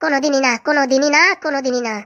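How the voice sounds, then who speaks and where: A woman's voice: high-pitched, fairly even intonation, racing, muffled recording, lightly acted. A woman voicing a vengeful, scheming jilted wife in a comedy cartoon, ranting and gloating to herself. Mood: furious.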